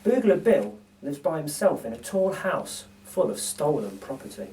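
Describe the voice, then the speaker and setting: A man reading a children's storybook aloud, with deliberate, dramatic phrasing. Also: fairly high pitch, sing-song, mellow, a little hiss or hum. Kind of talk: expressive children's story narration. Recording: distant microphone.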